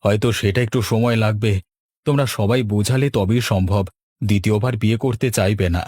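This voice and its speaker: A man's voice: on the low side, steady tone, fairly quick, darkish tone, dry recording, studio quality, lightly acted. A man voicing a kindly, sensible older father in a village cartoon. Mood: worried.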